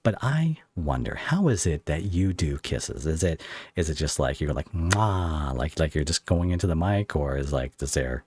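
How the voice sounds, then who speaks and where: A man with a very low voice hosts a relaxed podcast interview. His pitch moves around a lot as he rambles playfully through his questions and jokes. The sound is dry and close to the microphone. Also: moderate pace, slightly rough, no echo. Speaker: voice actor. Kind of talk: casual, joking interview chat. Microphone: close microphone on an online call.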